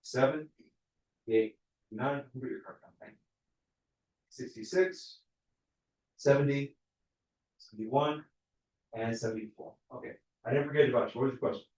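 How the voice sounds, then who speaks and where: A man working as a prosecutor, questioning a jury panel in a quick, conversational way. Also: mid-range voice, darkish tone. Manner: fast, conversational, explains with examples. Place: large courtroom. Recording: echoey courtroom from far off.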